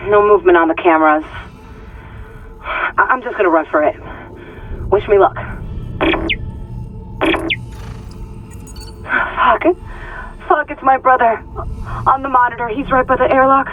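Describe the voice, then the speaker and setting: A tense female computer specialist in a space horror game, voiced by an actress. She is wary and fairly high in pitch. It comes over a lo-fi transmission with faint music. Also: moderate pace, pauses often, close to an everyday voice. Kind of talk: video game voice acting. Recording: lo-fi radio transmission.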